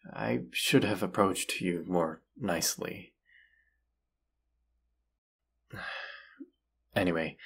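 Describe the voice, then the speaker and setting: Man acting as deep, gentle vampire-hunter lover. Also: gravelly, husky, heavily acted. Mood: gentle, apologetic. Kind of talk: intimate audio roleplay acting.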